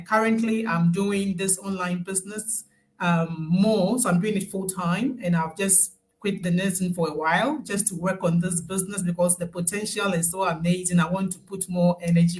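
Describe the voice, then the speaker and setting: A woman's voice: fairly low pitch, a little mellow, poor audio. Chatty woman in her thirties. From Ghana. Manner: friendly, laughs often, chatty. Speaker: guest, nurse turned online business owner. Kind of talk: casual live-stream interview.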